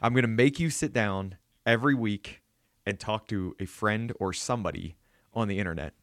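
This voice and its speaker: An American man hosts a casual podcast in a fairly low voice. He jokes and teases his guest. His voice sounds dry, with no room echo. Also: normal speed, a bit bright. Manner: joking, teasing. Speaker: podcast host.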